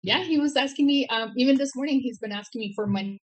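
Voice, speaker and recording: A woman's voice: high voice, fast, rough recording. A woman speaking casually as an interview guest, recounting her own experience. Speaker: guest, scam victim. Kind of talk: casual personal account.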